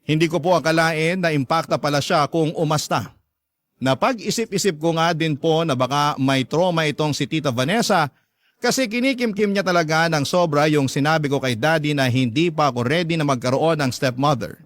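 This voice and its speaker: Guy doing hurt young filipino letter writer telling their story, highish voice, emphatic and confiding, very dry audio. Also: a little fast, fairly bright tone, no echo, close to an everyday voice. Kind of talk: dramatic first-person story narration.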